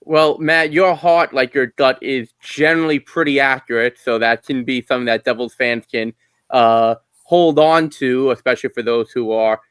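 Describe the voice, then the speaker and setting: Male podcast co-host, crisp medium-pitched voice, conversational sports talk. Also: steady tone, normal speed, a bit bright, no room sound.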